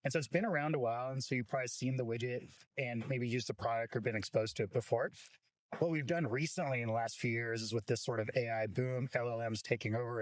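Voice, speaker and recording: A man's voice: medium pitch, no echo, rough recording. A man giving an informal welcome speech as a company representative, conversational and peppered with "like". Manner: conversational, frequent filler "like".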